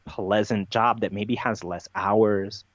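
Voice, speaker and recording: A man's voice: fairly low pitch, steady tone, takes it slowly, no echo, dull audio. A man giving unscripted interview answers as a podcast guest, explaining at length in an emphatic, rambling way with repeated phrases.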